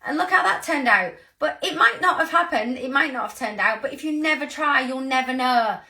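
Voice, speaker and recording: A woman in her thirties with a croaky voice, giving an earnest, rambling motivational talk to her team. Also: high voice, lively intonation, fairly quick, sharp tone. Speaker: sales team leader.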